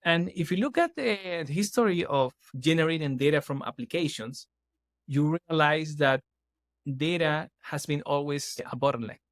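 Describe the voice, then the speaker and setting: A man's voice: a little high, normal speed, no room sound. A man from Chile speaks English with an earnest, explaining manner, often restarting his sentences. His voice is recorded dry and close on a podcast microphone. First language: Spanish. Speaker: guest, startup CEO. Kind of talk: casual podcast interview.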